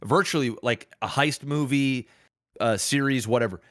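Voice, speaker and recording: A man's voice: medium pitch, normal speed, no room sound, clean studio sound. A man hosting a casual podcast, talking in an opinionated, emphatic way with plenty of slang and swearing. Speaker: podcast host.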